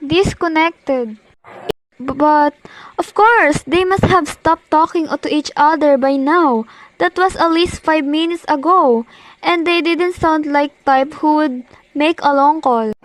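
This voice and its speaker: A woman's voice: sits high, slow pace, no room sound, rough recording. A young woman playing an imperious, nervous married lady in an amateur radio play, demanding and impatient. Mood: anxious, impatient. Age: teenager to young adult. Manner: demanding, agitated, impatient, haughty. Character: imperious, nervous, indignant married woman reporting an overheard murder plot.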